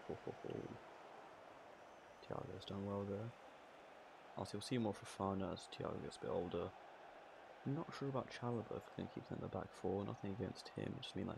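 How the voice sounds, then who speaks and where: A man with a croaky voice giving casual, excitable commentary on his own football video game match. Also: deep voice, noisy background. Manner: excitable, swears and laughs at own play. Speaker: gaming channel host.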